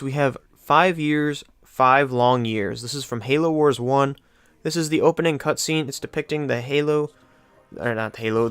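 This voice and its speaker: A man's voice: mid-range voice, clean tone. A man giving casual, rambling commentary as the host of a video game countdown, often correcting himself conversationally.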